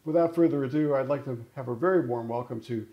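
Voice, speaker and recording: A man's voice: mid-range voice, quick pace, muffled recording. A middle-aged man hosting a studio interview, with a polished, scripted introduction. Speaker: host. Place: indoor studio with framed awards.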